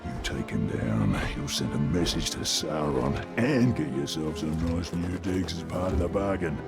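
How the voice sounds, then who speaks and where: An actor playing a brutal, cheerful orc bodyguard in a video game, chatty, cocky and bloodthirsty. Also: male voice, a bass voice, animated, normal speed, gravelly, heavily acted. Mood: gleefully bloodthirsty. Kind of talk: video game character acting. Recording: loud music behind the voice.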